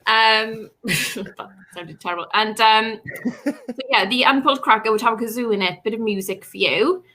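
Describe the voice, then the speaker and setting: A woman's voice: a little high, normal speed, bright, forceful tone. A woman in her twenties, a comedian guest making self-mocking jokes over a video call from home. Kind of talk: self-mocking comic answer. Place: home room.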